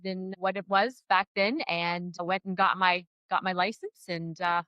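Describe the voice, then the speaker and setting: A middle-aged woman from Canada with a Canadian accent, an upbeat real estate agent chatting as a podcast guest, often pausing on "uh". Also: on the low side, fairly even intonation, bright, forceful tone, smooth, no room sound, highs cut off. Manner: upbeat, chatty, frequent "uh" fillers. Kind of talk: casual podcast interview.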